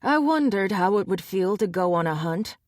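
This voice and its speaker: A woman voicing a cold, dangerous adult male shapeshifter enforcer in an audiobook, speaking with low threat. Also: expressive, moderate pace, no echo, clean studio sound, close to an everyday voice. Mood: menacing.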